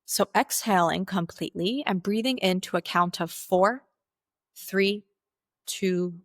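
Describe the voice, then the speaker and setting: A woman leading a guided meditation as its guide, speaking in slow, deliberate instructional phrases with pauses. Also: medium pitch, no echo, studio quality.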